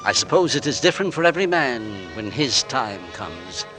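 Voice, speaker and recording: A man's voice: mid-range voice, big pitch swings, normal speed, over loud music, lightly acted. A man plays an anxious, devoted husband in an old radio thriller. His genteel, theatrical voice rises and falls dramatically over a musical score. The delivery is that of 1940s suspense acting. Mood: brooding, dramatic. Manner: melodramatic, genteel, hesitant in dialogue. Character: anxious, tender husband narrating his own mysterious illness. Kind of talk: 1940s radio suspense acting, first-person narration and dialogue.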